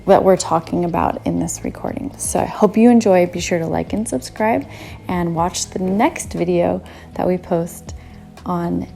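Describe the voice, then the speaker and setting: A woman's voice: lowish voice, moderate pace, creaky, dark tone, soft background music. A woman hosting an interview, effusive and prone to repeating herself. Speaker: interview host, art school founder. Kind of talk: casual interview.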